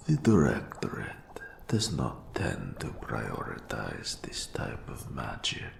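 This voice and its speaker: A man playing an eldritch, melancholy keeper of forbidden lore in an audio drama, speaking formally and deliberately in a breathy, gravelly whisper. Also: very deep voice, wildly swooping pitch, reverberant, full character voice. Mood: consoling, solemn. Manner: slow, deliberate, formal, ominous phrasing. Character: eldritch, nameless old archive custodian, formal, patient, melancholy mentor. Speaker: mentor in forbidden magic. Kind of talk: scripted roleplay audio drama.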